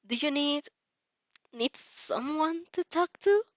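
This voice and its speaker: A woman's voice: high voice, expressive, slow, no room sound, dull audio, voice pushed up. A woman voicing a shy, concerned teenage boy who hesitates and stammers, in a story read-aloud. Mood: hesitant, concerned.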